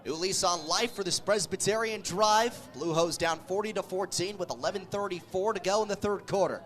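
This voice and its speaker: High-voiced man calling football play-by-play. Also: fairly quick, a bit bright, faint music behind. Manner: clipped, vivid phrases that follow the action. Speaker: American football radio play-by-play announcer. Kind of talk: live sports play-by-play commentary.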